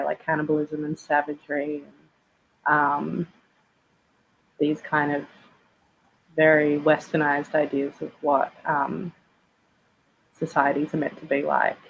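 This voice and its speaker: A woman on a festival panel speaking in a halting way, correcting herself as she goes. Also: sits low, gravelly, muffled recording. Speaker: panelist, writer. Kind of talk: panel discussion.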